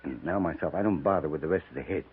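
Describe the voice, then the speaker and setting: An actor playing a coarse, boastful buffalo skinner in a 1950s radio western, talking in a rough, folksy, rambling frontier manner. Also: male voice, rumbling low, very fast, narrow, tinny audio, slight background noise. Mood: gleeful boasting. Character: boastful, earthy old-West buffalo skinner, coarse and relishing. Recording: thin, band-limited 1950s radio recording.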